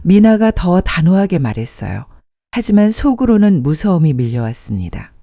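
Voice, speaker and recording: Mellow storyteller with animated pitch. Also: moderate pace, no room sound. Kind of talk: scripted dramatic story narration. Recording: muffled dry studio sound.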